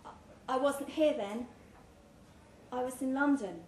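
A woman's voice: sits high, unhurried. A woman reader voicing a restless, guarded woman traveller with a hesitant manner in a story. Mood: awkward, tentative. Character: restless, guarded woman drifter from England, awkwardly chatty. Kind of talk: character dialogue in a live story reading. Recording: roomy live recording with background noise.

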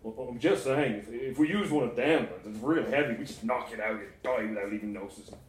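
A man's voice: medium pitch, fairly quick, close to an everyday voice. A man voicing a smirking, callous young man in a short story, dismissive and practical. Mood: coaxing. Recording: roomy, echoing sound.

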